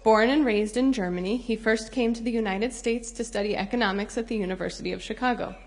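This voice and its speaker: A woman's voice: fairly high pitch, fast talker. A woman hosting an event, reading a formal, prepared introduction of the guest speaker.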